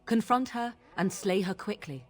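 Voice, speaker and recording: An actress voicing a guarded, imperious young witch in a fantasy video game, speaking formally and with measured phrasing. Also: mid-range voice, animated, faint music behind, natural voice. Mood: cool, resolute. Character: guarded, imperious young witch plotting against her mother.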